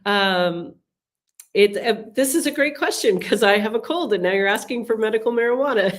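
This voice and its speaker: A woman's voice: a little high, normal speed. A middle-aged woman with a cold, hosting a live webinar Q&A as a relocation advisor and answering viewer questions in a chatty, explanatory way with frequent ums and restarts. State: has a cold, sniffling. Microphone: computer mic on a webinar call.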